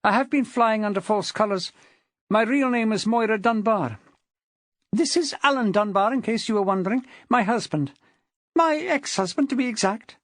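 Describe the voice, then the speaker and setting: A woman's voice: on the high side, normal speed, no echo, clean studio sound, voice pushed up, lightly acted. A woman voicing a placating, consoling woman with a sing-song, almost hypnotic delivery in an audiobook. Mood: careful. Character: placating woman soothing her dangerous ex-husband, sing-song.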